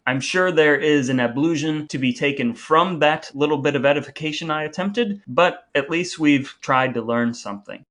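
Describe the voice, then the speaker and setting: A man's voice: fairly high pitch, normal speed, hardly any pauses, a bit bright, a little hiss or hum. A man hosting a podcast in a conversational, partly scripted style, with a wry, wordy, mock-formal way of talking. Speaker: podcast host.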